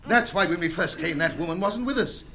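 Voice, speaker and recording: A man's voice: high-pitched, fairly quick, bright, forceful tone. An actor playing an insistent, courteous, agitated father in a stage drama, with a theatrical, pleading delivery. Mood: anxious, pleading. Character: insistent, courteous, agitated father defending his strange story.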